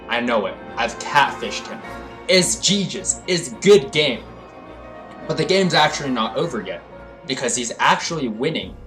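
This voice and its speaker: A young man in the middle of his vocal range tells a comedic story with exaggerated drama. He swings between fired-up ranting and low, deadpan lines. Faint music plays quietly beneath his voice. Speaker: storytelling vlogger.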